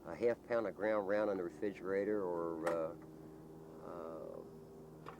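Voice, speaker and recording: Man, very low voice, folksy rambling storyteller, halting delivery, clearly noisy documentary sound. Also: fairly even intonation. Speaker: local resident being interviewed. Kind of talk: casual storytelling to an interviewer.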